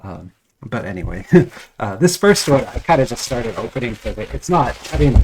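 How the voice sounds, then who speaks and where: A man's voice: medium pitch, very animated, moderate pace, a little mellow, slight background noise, rough recording. Rambling man with a head cold. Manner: rambling, hesitant, frequent restarts and chuckles. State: has a cold, voice a bit off. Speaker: trading card unboxing vlogger. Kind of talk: casual unboxing chat. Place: at home.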